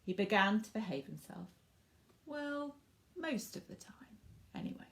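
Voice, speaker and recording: A woman reading a picture book aloud to children in an expressive way, stressing words for drama and speaking directly to young listeners. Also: mid-range voice, vocal fry, slight background noise. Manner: animated, dramatic emphasis with drawn-out words and hushed asides.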